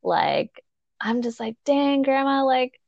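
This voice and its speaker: A woman co-hosting a podcast in casual chat, relaxed and teasing, laughing along. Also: high voice, slow, creaky, no echo, muffled recording. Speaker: podcast co-host.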